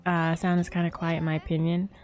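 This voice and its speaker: Mellow, low-voiced woman streamer. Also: level tone, fast. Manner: giggly, chatty with viewers. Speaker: game livestreamer. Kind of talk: casual livestream chat while gaming. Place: livestream with game music behind.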